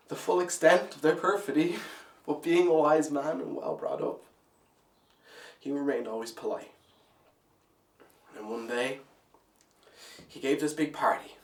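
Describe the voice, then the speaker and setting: A man's voice: mid-range voice, natural voice. Man acting a bitter young man, echoey room. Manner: halting, swinging between hushed brooding and loud outbursts. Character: bitter, anguished, disillusioned young man in love. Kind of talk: theatrical dramatic monologue. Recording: echoey room, distant microphone.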